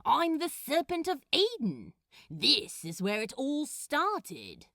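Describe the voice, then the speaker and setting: A woman's voice: high voice, huge pitch swings, slow pace, sharp tone, no echo, clean studio sound, voice pushed up, heavily acted. A woman voicing a grumpy, defensive male demon in a storybook reading, with a snappish, grudging, growling manner.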